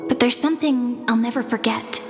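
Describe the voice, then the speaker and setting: An actress voicing a gentle, mysterious woman stranded in an empty void, speaking softly and persuasively in a game cutscene, with music behind. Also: fairly high pitch, a little fast, big-room sound, close to an everyday voice. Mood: earnest warning. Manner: soft, calm, persuasive.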